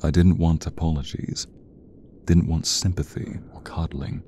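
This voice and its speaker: A man reading as a smitten, sensual narrator of a romance story, slow and intimate, often sinking into a breathy whisper. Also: rumbling low, steady tone, mellow, a little hiss or hum. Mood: yearning, sensual.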